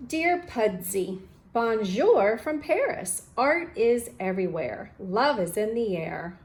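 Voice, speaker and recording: A woman's voice: mid-range voice, expressive, slow. A woman voicing an eager, adventurous male storybook character, reading his letters to a friend expressively. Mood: affectionate.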